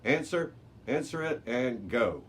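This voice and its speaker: Man acting storybook race announcer, commanding, showy, deliberate, raised pitch, noisy recording. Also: sing-song, a bit bright. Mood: rallying, commanding. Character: loud, commanding male race announcer rallying young contestants. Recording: noticeable background noise.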